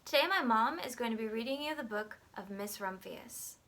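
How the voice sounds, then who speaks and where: A woman's voice: fairly high pitch, expressive, normal speed, sharp tone. A woman hosting, introducing a read-aloud story. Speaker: channel host introducing a reader.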